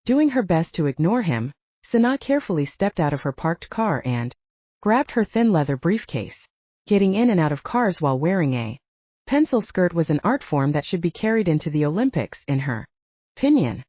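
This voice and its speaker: Low, mellow woman narrating romantic fiction. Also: sing-song, moderate pace, dry recording, highs cut off. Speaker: audiobook narrator.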